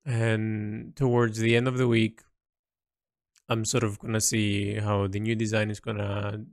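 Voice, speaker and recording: A man recording a reflective, unscripted audio diary, thinking aloud haltingly with repeats, in a creaky voice. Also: on the low side, completely flat delivery, no room sound, studio quality. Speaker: painter recording an audio log.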